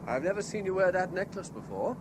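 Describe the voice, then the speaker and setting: A man's voice: high voice, very animated, a little fast. A British actor playing an anxious, lovesick man terrified of heights in a radio drama, pleading and urgent. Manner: pleading, urgent, emotionally wrought. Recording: echoey, noisy vintage radio drama recording.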